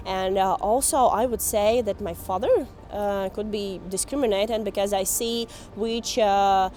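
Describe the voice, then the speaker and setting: A young woman from Latvia with Russian as her first language gives interview answers at an ordinary mid pitch. She speaks haltingly and often pauses to search for words in her second language. Faint music sits beneath her voice. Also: fairly even intonation, warm tone. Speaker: documentary filmmaker and activist.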